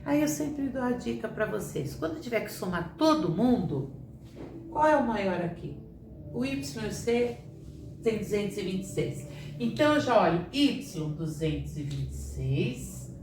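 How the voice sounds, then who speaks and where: A woman's voice: medium pitch, big pitch swings, unhurried. A woman teacher talks through sums step by step, asking questions and answering them herself. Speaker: math teacher. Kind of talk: classroom lesson at a board. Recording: echoey room, voice from a distance, clear background hiss.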